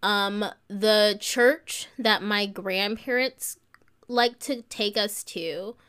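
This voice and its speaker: A young woman with a high, childlike voice telling a personal story in casual podcast chat, joking glibly and swearing freely. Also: extremely slow, a bit bright, clean tone. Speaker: podcast guest.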